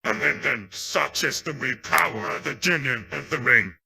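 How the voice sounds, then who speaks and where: An immortal djinn villain from a video game, grand and menacing. The voice is piercingly forceful and very raspy, with a gravelly edge. He boasts like someone sure of ruling the world. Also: mid-range voice, animated, moderate pace, full character voice. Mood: grandiose menace. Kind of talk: dubbed video game cutscene dialogue.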